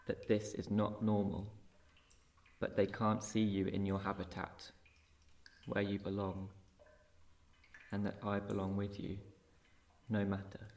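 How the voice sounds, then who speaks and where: A man performs spoken-word poetry in a fairly low voice. His intonation stays very flat and level through the verse. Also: a little slow, vocal fry, pauses often. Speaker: poetry reader.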